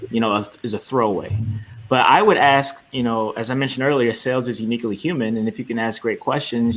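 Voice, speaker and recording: A man argues his point on a business panel. He speaks emphatically and builds his case through rhetorical questions. His voice sits at an everyday middle pitch. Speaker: sales professional, panelist. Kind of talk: persuasive panel talk.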